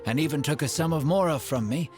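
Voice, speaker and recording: An actor in a video game playing an earnest, proud man of the desert, speaking in formal, measured phrases. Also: on the high side, darkish tone, faint music behind, close to an everyday voice. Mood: guarded, explanatory. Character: earnest, proud desert-dwelling man, elderly or ailing, apologetic.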